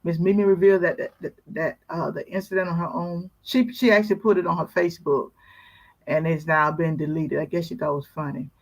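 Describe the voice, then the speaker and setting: A woman's voice: on the low side, steady tone, fairly quick, rough recording. A woman co-hosting a casual talk show who reads news stories aloud, stumbles over words and adds wry asides.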